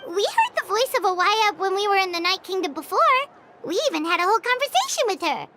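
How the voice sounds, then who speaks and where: A woman voicing a tiny, perky, childlike fairy companion in a video game, squeaky and eager. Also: sing-song, quick pace. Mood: eager, hopeful. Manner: perky, refers to self in third person. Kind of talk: video game character dialogue. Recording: music clearly behind the voice.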